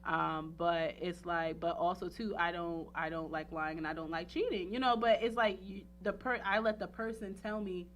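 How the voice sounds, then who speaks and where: A woman's voice: fairly low pitch, steady tone, moderate pace, few pauses, slight background noise. A woman in casual, candid chat as a talk show guest, speaking emphatically and rambling with frequent check-in phrases. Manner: emphatic, rambling, repeats "you know what I'm saying". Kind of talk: casual candid chat about personal life.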